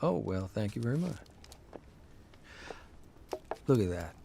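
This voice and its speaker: An actor playing a fussy, long-suffering writer husband, dry and exasperated, in a naturalistic period radio drama. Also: male voice, on the low side, big pitch swings, fast, vocal fry, mellow, slight background noise. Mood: aggrieved.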